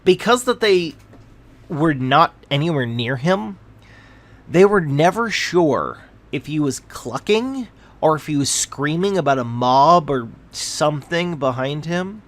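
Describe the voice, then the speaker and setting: A man's voice: on the high side, expressive, slow, a bit bright. Man reading a fantasy story aloud. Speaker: storyteller narrating a fantasy tale. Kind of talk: scripted narration read aloud.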